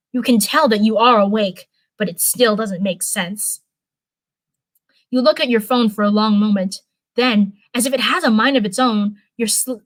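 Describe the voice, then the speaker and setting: A woman's voice: a little high, dry recording. A woman reading a story aloud as narration, now and then stumbling over a word and going back over it. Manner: stumbles over words and rereads them. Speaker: live stream host reading fan fiction.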